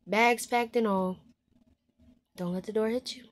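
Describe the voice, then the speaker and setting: A woman in her twenties speaking candidly to her viewers, frank and heartfelt, often dropping to a soft hushed voice and swearing bluntly. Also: fairly high pitch, fairly even intonation, unhurried. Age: 20s. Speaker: vlogger. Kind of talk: candid personal monologue to viewers.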